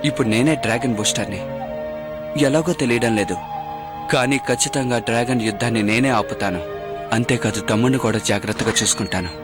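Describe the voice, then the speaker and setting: A man's voice: medium pitch, fast talker, natural voice. A man playing a determined, earnest teenage boy hero in a dubbed cartoon, with an emphatic delivery. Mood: earnest, resolute. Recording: loud music over the dialogue.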